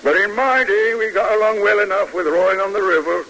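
An actor playing a gruff but fond army colonel and father in a 1950s radio drama, speaking in a halting, old-fashioned, formal way. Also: high voice, pushed and bright, low-quality recording. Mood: wistful, musing. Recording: hissy vintage radio recording.

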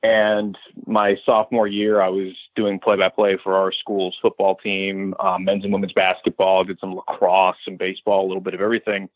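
A man's voice: deep voice, completely flat delivery, moderate pace, few pauses, no echo, narrow, tinny audio. An American man in his thirties, a sports radio announcer, talking conversationally and at length as an interview guest. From Westchester County, New York, United States. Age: 30s.

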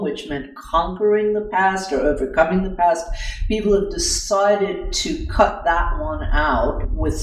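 An older woman, a scholarly interview guest, speaking thoughtfully and precisely, with deliberate pauses in mid-phrase. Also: low-pitched. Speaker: guest, moral philosopher and author. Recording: echoey room, distant microphone.

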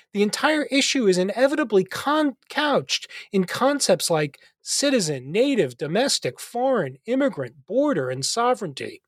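A man hosting a philosophy podcast interview, reading a measured introduction and then questioning his guest. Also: sits high, dry recording, clean studio sound. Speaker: podcast host, philosophy professor.

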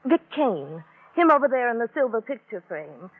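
A woman's voice: on the high side, sing-song, normal speed, husky, full character voice. A woman playing a world-weary, bitterly romantic stage type with a languid, dramatic manner in a radio drama. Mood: wistful, bored. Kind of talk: 1950s radio drama acting. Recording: narrow-band vintage radio recording.

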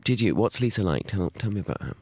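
A man's voice: lowish voice, expressive, fast, darkish tone, husky, dry recording, studio quality. A man co-hosting a podcast, full of teasing, wry banter. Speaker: podcast co-host. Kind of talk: casual comedic chat.

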